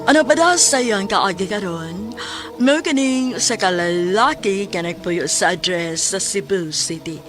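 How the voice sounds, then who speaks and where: Cebuana radio host over clear music. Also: female voice, medium pitch, measured pace. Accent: Filipino, Cebuano. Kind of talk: dramatic scripted radio introduction.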